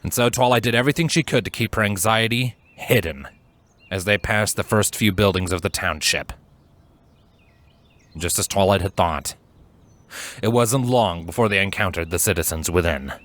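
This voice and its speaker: A man reading a story aloud as narrator, in an expressive, measured, dramatic storytelling style. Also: low voice, dry recording. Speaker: fan fiction narrator. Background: background music.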